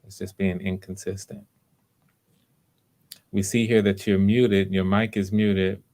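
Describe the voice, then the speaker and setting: A man's voice: low-pitched, flat delivery, a little slow, darkish tone. A man hosting live auditions casually, coaching in an encouraging way and often repeating his words. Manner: encouraging, hesitant repetitions. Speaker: audition host.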